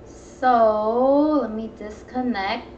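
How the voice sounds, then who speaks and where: Young female live-stream cooking host, high pitch, casual meandering chat, dull room sound with music behind. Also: drawn-out words, darkish tone, a little hiss or hum. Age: young adult. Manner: informal, meandering, greeting viewers. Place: small home room, indoors at a table. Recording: echoey, dull webcam stream, background music.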